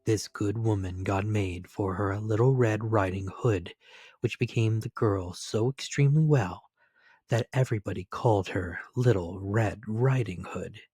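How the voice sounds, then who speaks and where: A man's voice: fairly low pitch, a little slow, a little mellow, slightly rough, no room sound, clean studio sound. A man giving a dramatic scripted reading of a fairy tale as storyteller.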